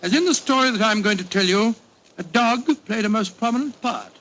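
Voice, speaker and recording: An actor playing a genial, fussy middle-aged English doctor with an avuncular, blustering British manner in 1940s radio style. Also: male voice, sits high, fairly quick, a bit bright. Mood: genial, storytelling. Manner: avuncular, blustering, old-fashioned turns of phrase. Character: Doctor Watson, genial fussy English doctor telling his old tales. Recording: noisy vintage 1940s radio recording.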